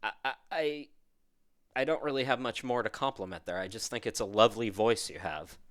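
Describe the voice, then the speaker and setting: A man's voice: fairly low pitch, brisk, a bit bright, no room sound, studio quality. A middle-aged man hosting a podcast, talking in a chatty, rambling, upbeat way. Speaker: podcast host. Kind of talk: casual interview chat.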